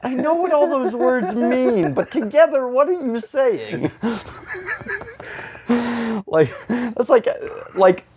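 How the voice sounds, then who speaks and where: A man's voice: extremely high pitch, slow pace, pauses often. A man hosting a comedic podcast in loose, casual chat, rambling and swearing freely with self-deprecating humour. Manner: rambling, profane, self-deprecating, animated when quoting. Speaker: podcast host.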